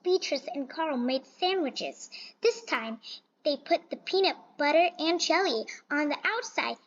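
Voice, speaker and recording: A woman's voice: high-pitched, animated, measured pace. A woman reading a children's picture book aloud, expressively but with small stumbles and repeats. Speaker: storybook reader. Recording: dull, lo-fi sound.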